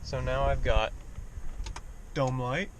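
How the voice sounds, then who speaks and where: Guy doing a diy vlog, talks real slow and plain, kinda wry, noisy vehicle background. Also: medium pitch, fairly even intonation. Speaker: DIY truck repair vlogger. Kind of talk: casual vlog narration while working. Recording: background noise of vehicle and hum.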